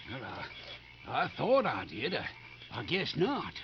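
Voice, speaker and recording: An actor playing a nervous, hesitant convict in a 1950s radio drama. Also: male voice, mid-range voice, wildly swooping pitch, measured pace, creaky, exaggerated voice. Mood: uneasy. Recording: muffled, noisy 1950s radio recording.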